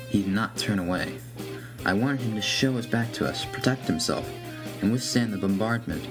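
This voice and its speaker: Guy narrating a horror story in first person, lowish voice, music going behind him.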